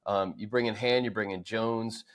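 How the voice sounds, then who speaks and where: An American man hosting a conversational sports show, speaking in a halting way and repeating words as he gathers his thoughts. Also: fairly low pitch, steady tone. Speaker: sports podcast host.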